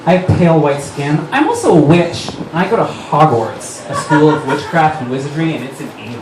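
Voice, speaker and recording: A man's voice: a little high, lively intonation, brisk, lightly acted. Vain, gloomy teen goth girl narrator, acted by a man, melodramatic fan fiction reading, echoey distant live sound. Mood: proud, sullen. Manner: self-absorbed, melodramatic, listing details. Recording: noisy, echoey live room recorded from far off.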